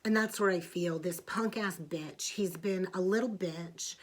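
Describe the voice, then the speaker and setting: A middle-aged woman talks through a weekly tarot forecast in a frank, emphatic monologue. Her voice sits a little low, and she does not hold back her language. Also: a little slow. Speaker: tarot reader.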